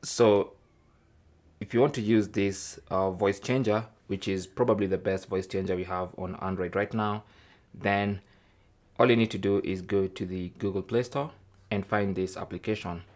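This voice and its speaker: A man's voice: low voice, steady tone, moderate pace, darkish tone. A man hosting an informal tech tutorial, explaining things casually step by step. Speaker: host of a tech tips show.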